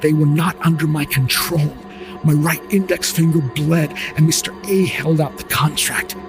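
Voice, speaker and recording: Man narrating drama over clear guitar music. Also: on the high side, steady tone, normal speed. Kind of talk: scripted first-person storytelling, audio drama narration. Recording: music bed behind voice.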